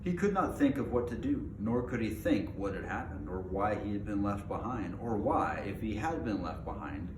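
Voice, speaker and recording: An echoey recording with clear background noise carries a man's voice on the low side. He narrates a storybook aloud in an informal, homemade way. Now and then he trips on a phrase and reads it again. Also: expressive, moderate pace, hardly any pauses. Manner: informal, stumbles and rereads phrases. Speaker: amateur reader reading a book aloud.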